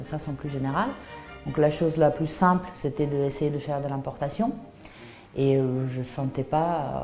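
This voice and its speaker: A woman's voice: sits low, warm tone, roomy sound. A woman in her thirties from southern Italy, speaking with an Italian accent in a hesitant, think-aloud way as she explains her craft. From Puglia, southern Italy. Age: 30s. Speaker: artisan cheesemaker and shop founder. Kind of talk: personal interview account of her craft.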